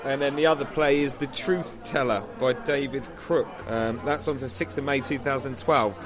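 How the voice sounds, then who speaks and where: A man's voice: medium pitch, steady tone, normal speed. A man co-hosting a podcast in casual review chat, with dry, teasing banter. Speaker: podcast co-host. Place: pub. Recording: busy pub crowd noise behind. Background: chatter of pub crowd.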